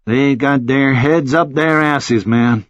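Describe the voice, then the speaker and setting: A man's voice: mid-range voice, slow, no echo, close to an everyday voice. Man voicing easygoing, drawling American journalist, slightly muffled. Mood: frank, eager. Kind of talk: audiobook character dialogue.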